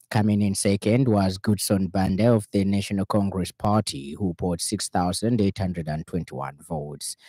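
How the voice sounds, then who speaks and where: A man with a Zambian accent reading a radio news bulletin in a formal, measured way from a studio. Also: low voice, mellow, no echo.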